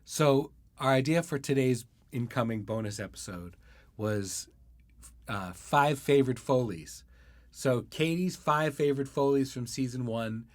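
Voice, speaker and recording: A man's voice: medium pitch, measured pace, no echo. Male podcast host, dry close sound. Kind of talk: casual interview hosting.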